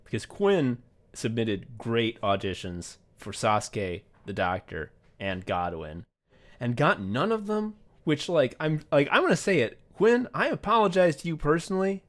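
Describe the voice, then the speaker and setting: An American man hosting a comedy podcast, talking casually in an animated, sweary way. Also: medium pitch, a little slow. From United States. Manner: animated, sweary, laughs readily. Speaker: podcast host.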